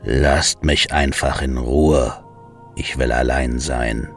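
Super deep grumpy underworld god, bitter and gruff, music under it. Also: male voice, fairly even intonation, slow, exaggerated voice. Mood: sullen. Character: sulking male god of the underworld.

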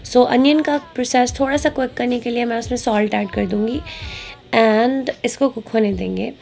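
A woman's voice: fairly high pitch, fairly even intonation, normal speed, creaky, a little mellow, soft background music. A woman, chatting casually and instructively as she narrates a recipe, switching in English words. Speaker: cooking vlog host. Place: home kitchen, indoors.

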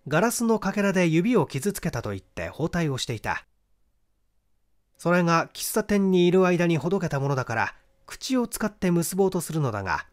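A middle-aged man reading a literary mystery story as an expressive, dramatic audiobook narrator. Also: a little high. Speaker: audiobook narrator, stage actor.

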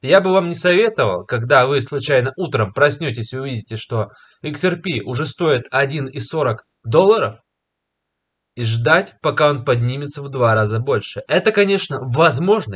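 A young man who is slightly ill and clears his throat now and then, confidently talking viewers through a price chart, recorded on a webcam in a small room. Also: mid-range voice, fairly bright tone. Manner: confident, persuasive, pausing with filler words. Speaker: crypto market commentator. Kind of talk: screen-share market analysis to camera. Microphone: webcam in a corner of a screen recording.

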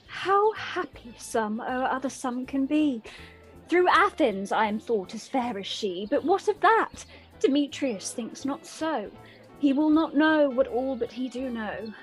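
A woman playing a lovelorn young woman, bitter yet determined, delivering dramatic, measured Shakespearean verse in a radio play. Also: high voice, lively intonation, soft background music. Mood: wistful.

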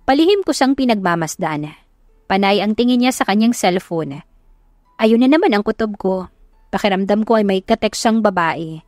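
A woman's voice: medium pitch, no echo, studio quality. Filipino woman narrating romance over music. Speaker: audiobook narrator. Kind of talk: dramatic first-person romance narration. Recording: music behind the voice.

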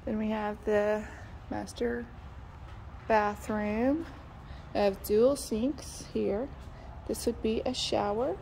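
A woman's voice: medium pitch, fairly even intonation, takes it slowly, creaky, pauses often, darkish tone, slight background noise, low-quality recording. A woman, chatting casually and thinking aloud with plenty of ums while she walks through a house being built, filmed on a phone. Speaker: real estate agent. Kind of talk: casual walkthrough video. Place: house under construction, indoors and in the yard. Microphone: phone held by the speaker.

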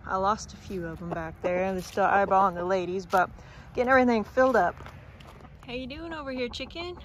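Young woman, mid-pitched, casual farm vlogger on a handheld phone outdoors, chickens clucking clearly behind her. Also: a little hiss or hum. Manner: chatty, talks to her chickens in a soft coaxing voice. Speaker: homestead vlogger. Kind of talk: casual vlog narration. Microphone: phone camera held at arm's length. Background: clucking chickens and roosters.

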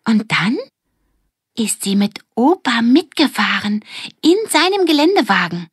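A woman voicing lively, scheming young girls from a gang in a children's audiobook, excited and emphatic. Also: fairly high pitch, measured pace, husky, dry recording, clean studio sound, pitched above the performer's own voice, heavily acted. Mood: eager. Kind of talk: audiobook character dialogue.

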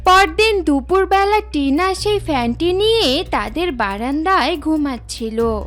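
A woman's voice: high voice, expressive, measured pace, fairly bright tone. A woman narrator reading a scripted fairy-tale cartoon story.

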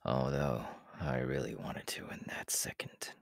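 A man playing a proud, menacing, world-weary demon king in an ASMR roleplay, talking in a deliberate, haughty way that often sinks into breathy whispers. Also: very deep voice, no room sound, studio quality, heavily acted. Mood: irritated, threatening. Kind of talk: ASMR audio roleplay acting.

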